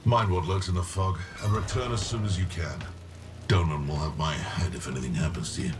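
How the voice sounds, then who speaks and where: An actor playing a blunt, protective village guard in a dark fantasy game, with a firm manner. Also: male voice, deep voice, a little fast, music in the background, natural voice. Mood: firm warning. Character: gruff, protective village defender.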